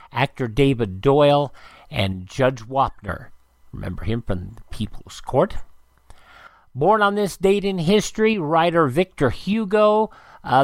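A man's voice: medium pitch, no echo. A man hosting a radio show, reading out dated items like an announcer and adding short asides. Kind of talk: radio narration of this day in history.

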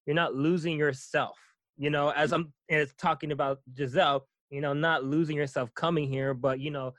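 A man's voice: on the high side, steady tone, moderate pace, a bit bright, no echo, studio quality. Chatty, laughing male interviewer. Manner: chatty, laughs often, rambling. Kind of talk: casual interview chat.

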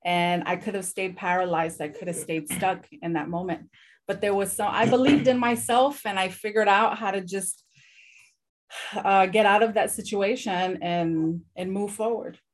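Professional woman as interview guest, mid-pitched, earnest and reflective. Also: fairly even intonation, moderate pace, a little hiss or hum. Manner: earnest, reflective, self-questioning. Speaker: guest, community engagement director. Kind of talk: candid interview answers.

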